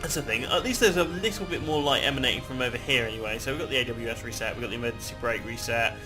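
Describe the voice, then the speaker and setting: A man's voice: medium pitch, rapid-fire, music in the background. A man casually chatting and rambling while he plays a game, swearing freely and laughing often. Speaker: gameplay video host. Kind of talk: casual gameplay commentary.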